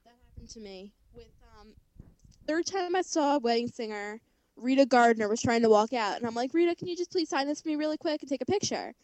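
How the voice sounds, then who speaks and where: A young woman co-hosting a podcast, excitable and quick to jump in during casual chat. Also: a little high, no room sound, low-quality recording. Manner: excitable, interrupting, quick to laugh. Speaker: podcast co-host.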